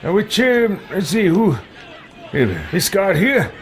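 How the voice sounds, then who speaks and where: A man hosting a roleplay let's play, commenting in character in a theatrical, deliberate and haughty way. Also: high-pitched, big pitch swings, some chatter behind, slight background noise.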